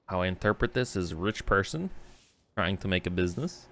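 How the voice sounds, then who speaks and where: A man's voice: sits low, lively intonation, fairly quick, a bit bright, slight background noise. A man doing casual live commentary as a game streamer, joking around in slangy speech.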